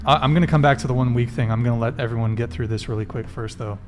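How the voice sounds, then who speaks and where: A man's voice: medium pitch, background noise. Casual male talk show host questioning guests. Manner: quick follow-up questions, repeats answers back.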